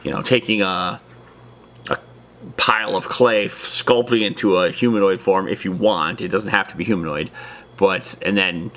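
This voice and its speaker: Male host, lower-pitched voice, informal and enthusiastic, for a loose, chatty hobby explainer. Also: pushed and bright, slight background noise. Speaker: host of a tabletop gaming lore video series. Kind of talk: casual explainer talk to viewers.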